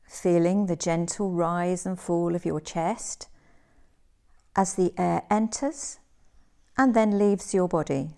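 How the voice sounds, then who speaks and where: A woman leading a guided relaxation meditation as a yoga teacher, soothing and gentle, in measured phrases with pauses. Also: lowish voice.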